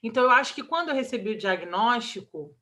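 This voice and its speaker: A woman in her thirties with a Brazilian Portuguese accent, an interview guest talking about her child, emotional and halting, with many restarts. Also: fairly high pitch, lively intonation, sharp tone. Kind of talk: candid personal interview.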